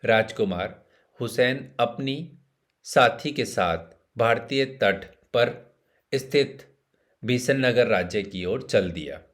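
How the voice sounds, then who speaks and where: A man narrating a scripted bedtime story in a measured way, pausing between phrases. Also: medium pitch.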